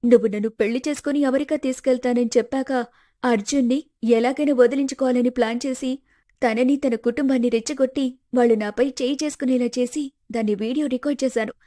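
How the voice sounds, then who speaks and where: A woman performs a scheming young wife in an animated moral story. Her voice is high, and she speaks with melodramatic, pleading false innocence. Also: fast, close to an everyday voice. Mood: smug confiding.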